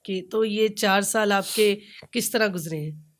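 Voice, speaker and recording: A woman's voice: medium pitch, measured pace. A woman with a Pakistani accent interviewing as a podcast host, attentive and conversational, with brief acknowledgements.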